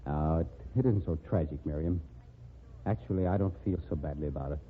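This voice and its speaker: A man's voice: extremely low pitch, brisk, slight background noise. An actor playing a bitter, disgraced man down on his luck, in 1940s radio acting with a wry, self-mocking manner. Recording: tinny old radio broadcast.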